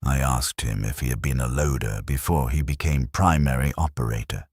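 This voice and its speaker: Very deep-voiced man narrating a story. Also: moderate pace, husky, dry recording, studio quality. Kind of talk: scripted first-person story narration.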